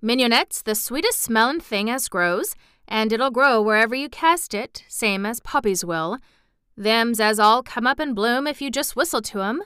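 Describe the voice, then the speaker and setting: A woman voicing a friendly, easygoing country boy in broad, unhurried Yorkshire dialect for a storybook. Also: fairly high pitch, expressive, sharp tone, clear, resonant tone, dry recording, studio quality. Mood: bright, eager.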